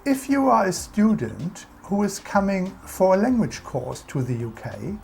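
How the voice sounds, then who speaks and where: A woman's voice: medium pitch, sing-song, measured pace, gravelly, mellow, faint music behind. A woman with a German accent, narrating a scripted explainer about English culture in an instructive, emphatic way.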